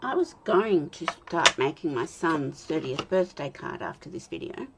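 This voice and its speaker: An Australian woman in her fifties, chatting and talking to herself while she demonstrates card making, with a slightly croaky voice. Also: deep voice, big pitch swings, normal speed, background noise. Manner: rambling, self-talk with soft laughs. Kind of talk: chatty crafting demo.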